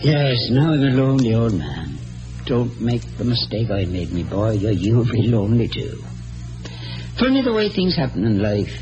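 A man's voice: medium pitch, very animated, moderate pace, close to an everyday voice, rough recording. Man playing a crafty old Irish country doctor, swooping voice. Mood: wistful. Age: older. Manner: wheedling, theatrical, hesitating for effect. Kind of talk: classic radio drama acting. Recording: old radio broadcast with music.

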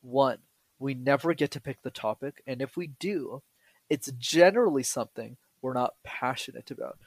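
A young man from Canada talks energetically and jokes often as a podcast guest. His voice sits at an ordinary pitch, and the sound is close and dry. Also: moderate pace, no room sound, low-quality recording. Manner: energetic, joking, explanatory. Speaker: guest, public speaking coach and YouTuber. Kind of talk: casual podcast interview.

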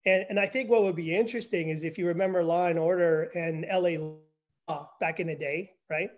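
A man in his fifties with a notably high speaking voice, chatting on a video call. He talks loosely and self-deprecatingly as a guest explaining his evaluation work. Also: fairly even intonation, a little fast. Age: 50s. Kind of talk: casual conversation.

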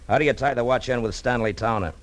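A man's voice: mid-range voice, fast, lightly acted. An actor playing a tough private detective in a 1940s radio noir drama, terse and wry as he works and narrates his case. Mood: menacing, demanding. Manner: terse, wry, hard-boiled. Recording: vintage radio recording with music underneath.

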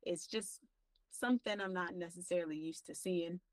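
A woman's voice: moderate pace, no echo, distant mic. A woman co-hosting a podcast in casual chat, enthusiastic and acting out her own quotes. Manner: enthusiastic, acts out quotes with big pitch jumps. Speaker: podcast co-host.

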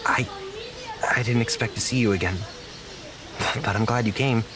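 An actor playing a naive, apologetic young zookeeper in an audio drama, speaking earnestly with halting stammers. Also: male voice, medium pitch, fairly even intonation, background noise, close to an everyday voice. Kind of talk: naturalistic audio drama acting.

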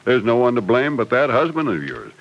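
A man's voice: mid-range voice, big pitch swings, fairly quick, lo-fi sound. An actor playing a kindly, plain-spoken older father figure in an old-time radio drama, patient and reassuring. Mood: concerned, fatherly. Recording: vintage radio recording.

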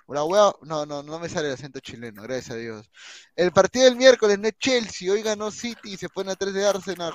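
A Peruvian man hosting a casual football talk show, bantering with co-hosts and reading viewer comments aloud. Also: high voice. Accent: Peruvian Spanish.

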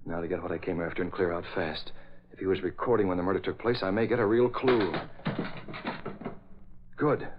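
An actor playing a bold, commanding masked crimefighter, brisk and decisive, in an old radio adventure. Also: male voice, deep voice, fairly even intonation, natural voice. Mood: tough, determined. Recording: noisy muffled vintage radio recording.

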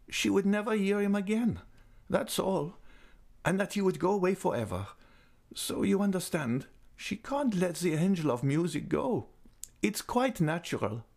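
A man's voice: high-pitched, gravelly, a little mellow, voice pushed up, exaggerated voice. A man voicing a cheerful, childlike old woman who is frail and bedridden, talking gaily and coaxingly.

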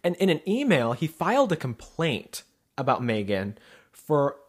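A man from the Pacific Northwest co-hosting a gossip podcast. His voice swoops up and down as he talks. He is animated and chatty, and scatters "like" through his sentences. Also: a little high, unhurried, breathy edge. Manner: animated, many "like" fillers, reads excerpts aloud. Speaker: podcast co-host. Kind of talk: casual celebrity gossip chat.

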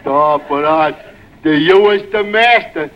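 Man playing dopey high-voiced innocent sidekick. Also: measured pace, natural voice. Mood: naive, wistful. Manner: dopey, naive, starts with a stray "duh"-like word. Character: Finnegan, dim-witted, innocent, lovelorn male sidekick. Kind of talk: 1940s radio comedy acting. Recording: muffled, lightly noisy old radio recording.

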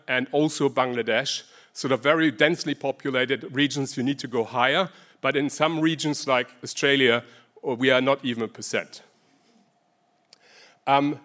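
A man with a German accent giving a conference talk on energy research, pausing often with ums and restarting phrases. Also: medium pitch, fairly bright tone. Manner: hesitant, many ums and restarts.

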